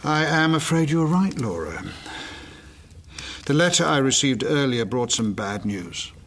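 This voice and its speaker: Reserved gentleman dad in a gothic radio play, formal, medium pitch, background noise going. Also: animated, measured pace. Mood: grave. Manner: formal, restrained, grave. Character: grave, reserved, studious gentleman father of the household. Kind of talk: gothic audio drama acting. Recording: audio drama with clear background ambience.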